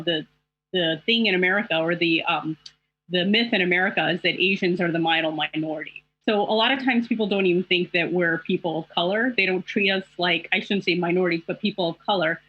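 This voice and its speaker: A woman's voice: lowish voice, husky, no room sound. A middle-aged woman journalist speaking in a panel discussion, talking in a reflective, candid way with many fillers. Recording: dull lo-fi call audio.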